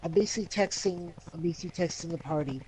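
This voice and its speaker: A man's voice: fairly high pitch, quick pace, a little mellow, breathy edge, rough recording. A man playing a tabletop game, casually and hesitantly working out his moves and repeating himself, with faint noise behind him.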